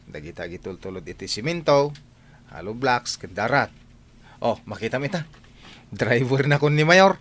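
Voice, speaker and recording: A man's voice: mid-range voice, lively intonation, normal speed, pauses often, a bit bright. An actor playing a boastful, flirtatious driver courting a woman in a radio drama, with an Ilocano accent. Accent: Ilocano, northern Philippines.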